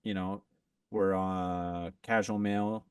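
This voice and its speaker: A man talking as a podcast guest in a relaxed, rambling way, full of fillers and restarts. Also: sits low, takes it slowly, smooth, no room sound, clean studio sound, recorded from a distance. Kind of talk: casual chat.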